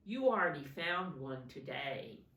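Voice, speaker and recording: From a distance in an echoey room comes a woman acting out a storybook tortoise, a slow male creature who is grumpy yet kind. The delivery is firm and weary, with pitch that moves around. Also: expressive, a bit bright, exaggerated voice. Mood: kindly. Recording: roomy echo, distant microphone.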